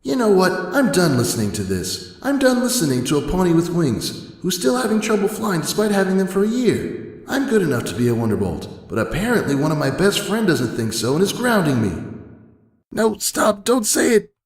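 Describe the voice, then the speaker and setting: A man's voice: sits high, wildly swooping pitch, moderate pace, reverberant, long reverb tail, pitched above the performer's own voice, heavily acted. A man voicing a brash, stubborn female pegasus pony with a blunt, impassioned delivery in a dramatic story reading. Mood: furious, then desperate pleading. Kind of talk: dramatic fan fiction reading.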